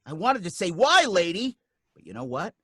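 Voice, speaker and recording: A man's voice: sits high, sing-song, normal speed, bright, forceful tone, slightly rough, no echo, studio quality, exaggerated voice. An actor playing a nervous working-class cab driver who narrates his own story, rambling in folksy street talk. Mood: scared. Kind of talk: radio drama acting.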